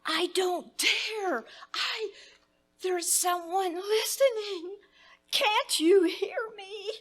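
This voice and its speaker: A woman's voice: extremely high pitch, very slow, fairly bright tone, heavily acted. A woman playing a terrified woman phoning for help. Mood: pleading. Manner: frantic, pleading, sobbing. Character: terrified invalid woman alone on the phone. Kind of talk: 1940s radio acting. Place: live stage before a dinner crowd.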